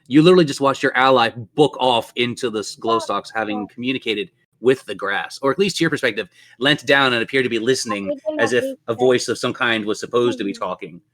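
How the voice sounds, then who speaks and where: A man's voice: medium pitch, fairly bright tone. A man running a tabletop role-playing game as game master, telling the story in a descriptive, theatrical way.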